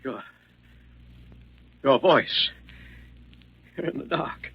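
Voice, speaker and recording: A man's voice: a little high, drawn-out words, creaky, slightly rough, no echo, full character voice. An actor playing a frightened, haunted businessman, stammering and pleading in a 1940s radio mystery. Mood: terrified. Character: panicky, haunted businessman, fearful for his life. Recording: muffled 1940s radio recording.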